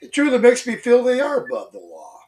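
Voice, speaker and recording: A man's voice: very high-pitched, wildly swooping pitch, fairly quick, darkish tone. A congested man co-hosting a casual opinion talk show, rambling with many fillers and restarts. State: congested, stuffy. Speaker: co-host. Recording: voice a bit far from the mic.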